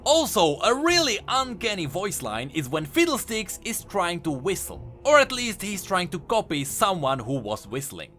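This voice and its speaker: A man's voice: sits high, huge pitch swings, moderate pace, few pauses, fairly bright tone, faint music behind. A man hosting a scripted explainer video as a game lore commentator.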